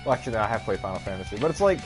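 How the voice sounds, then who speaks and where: A man's voice: lowish voice, sing-song, racing. A man casually commenting on a live game stream, chatting like an enthusiastic fan in rambling, slangy talk. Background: game music with guitar.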